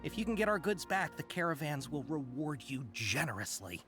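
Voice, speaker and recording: A man's voice: a little high, very animated, brisk, a bit bright. A man voicing an earnest, obliging caravan merchant in an English video game. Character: eager, grateful caravan merchant, adult. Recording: game music behind voice.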